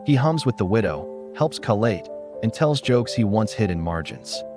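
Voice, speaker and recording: A man reading a literary story in a measured, solemn manner, as a scripted narrator. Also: on the low side, lively intonation, over music.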